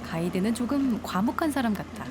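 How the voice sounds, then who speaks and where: A woman reading scripted travel documentary narration in a calm, measured way, recorded as a studio voice-over. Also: a little high, noisy background. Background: music.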